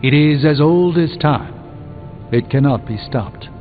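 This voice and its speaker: Weary male wizard mentor, played by a male actor, measured and thoughtful, game dialogue with long reverb over music. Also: a little high, natural voice. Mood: troubled, resigned. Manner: measured, eloquent, reflective. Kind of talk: video game character dialogue. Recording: muffled phone-like band, long reverb, music behind.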